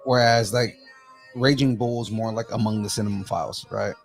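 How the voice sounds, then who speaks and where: A man with a hoarse, cracking voice, chatting casually and emphatically as a co-host. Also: fairly low pitch, fairly even intonation, moderate pace. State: hoarse, losing his voice. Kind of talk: casual chat. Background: music.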